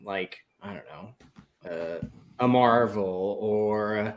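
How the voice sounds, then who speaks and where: A man chatting casually as a panelist on a talk show, in a halting, conversational way with trailing asides. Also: fairly low pitch, slight background noise. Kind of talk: casual chat.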